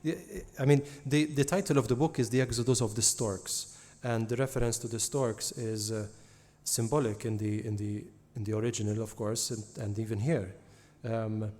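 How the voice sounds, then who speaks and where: A man moderating a book launch interview, asking thoughtful, halting questions. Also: on the low side, steady tone, a little mellow.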